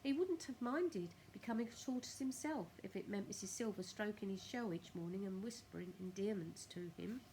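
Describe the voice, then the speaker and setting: A woman's voice: mid-range voice, normal speed, hardly any pauses, warm tone, slight background noise, low-quality recording. A woman narrating a children's story expressively, with careful phrasing and dramatic emphasis. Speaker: primary school storyteller.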